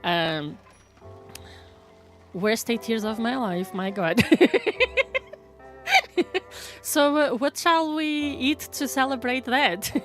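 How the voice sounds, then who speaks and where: A woman's voice: on the high side, slow pace, long pauses, fairly bright tone, faint music behind. A woman from Portugal with a Portuguese accent, chatting casually with viewers on a live stream, laughing often and restarting her words.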